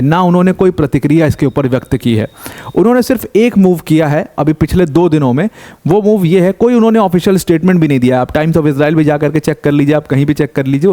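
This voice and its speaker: Young male news explainer, warm, slightly raised pitch, conversational, throws questions at the viewer. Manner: conversational, asks rhetorical questions, mixes in English terms. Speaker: current affairs educator.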